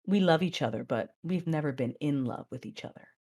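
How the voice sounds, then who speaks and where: A woman acting as a controlled, proud Sith mother, narrating and speaking in a measured, intense way in an audio drama. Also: low-pitched, lively intonation, warm tone, slightly rough, heavily acted. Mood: intimate, resolved. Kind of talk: first-person dramatic narration and dialogue.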